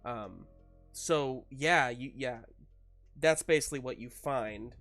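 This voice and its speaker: A man with a noticeably creaky voice acts as game master. He improvises narration in a casual, halting, encouraging manner. Music plays faintly behind him. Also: medium pitch, a bit bright.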